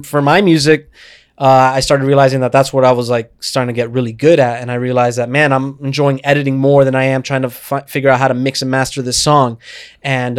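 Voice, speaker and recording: A man of about thirty talking casually as an interview guest, projecting his voice in an animated, loud, sweary way. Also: medium pitch, clean tone. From Cuban background, Miami scene. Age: around 30. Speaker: guest, self-taught video creator and filmmaker. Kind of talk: casual conversational interview, storytelling.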